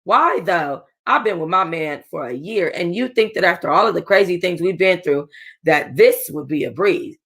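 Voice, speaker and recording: A woman's voice: lowish voice, lively intonation, moderate pace, slightly rough. A young woman reads a novel aloud to her book club in an easy, casual way. She trips over words, goes back to reread them, and stops now and then to talk straight to her listeners. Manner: casual, chatty asides to listeners; stumbles and rereads lines. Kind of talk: reading a novel aloud with asides.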